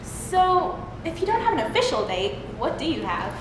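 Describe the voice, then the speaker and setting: A woman's voice: high voice, sing-song, normal speed, distant mic. A woman acting a supportive, practical girl who questions her friend in an amateur stage play. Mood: probing. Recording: echoey hall from afar, noisy.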